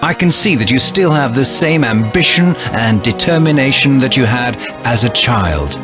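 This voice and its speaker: A resolute, noble soldier played by a man in a dramatic game cutscene. His delivery swings expressively in pitch, and music plays clearly behind him. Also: expressive, normal speed, lightly acted. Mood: earnest, resolute. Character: noble, resolute soldier brother, sworn secret agent.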